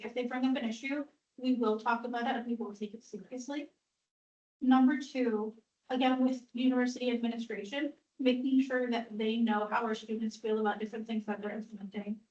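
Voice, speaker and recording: A woman's voice: on the high side, darkish tone. A young woman answering earnestly as a student candidate, listing her points in order. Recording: distant, echoey, dull lo-fi sound.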